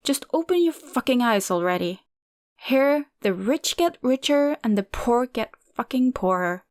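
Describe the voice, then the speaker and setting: A woman's voice: a little high, expressive, moderate pace, no echo, studio quality, natural voice. Woman voicing a foul-mouthed aunt in her fifties. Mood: angry scornful. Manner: barking, cursing, scornful.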